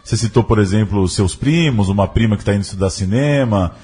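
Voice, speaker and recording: A man's voice: fairly low pitch, fast talker, a little mellow, husky. A Brazilian man hosting a podcast, asking casual interview questions that he rephrases tentatively as he goes.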